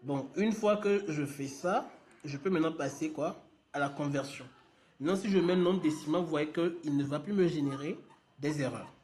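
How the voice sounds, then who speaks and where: A man's voice: on the high side, moderate pace, darkish tone. A man leading a live online lesson, talking casually and thinking aloud with many fillers as he works on screen. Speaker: trainer.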